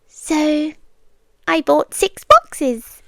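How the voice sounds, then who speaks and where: Woman playing a high, sweetly menacing British yandere girlfriend. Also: very animated, very slow, gravelly, dry recording, heavily acted. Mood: doting, insistent. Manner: coy, cooing, with soft giggles and whispery dips. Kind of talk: intimate ASMR roleplay.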